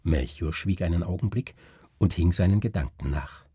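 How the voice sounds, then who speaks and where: A man reading a gothic story as scripted narration, with a husky voice and a grave, theatrical manner. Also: sits low, moderate pace, warm tone, no echo. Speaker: reader of a literary story.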